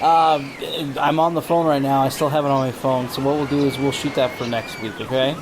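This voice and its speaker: A man's voice: medium pitch, fairly even intonation, a little fast. A man hosting a casual phone interview in a conversational way. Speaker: podcast host. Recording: noisy phone call with outdoor ambience.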